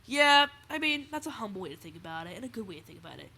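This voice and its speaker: Stuffy-nosed teen guy, bright tone, rambling toy-collector chat; keep it loose and restart a lot. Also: high-pitched, sing-song, racing, slight background noise. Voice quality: slightly raspy. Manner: rambling, restarts often, chatty. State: stuffy nose, under the weather. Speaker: toy collector video host. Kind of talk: casual livestream chat.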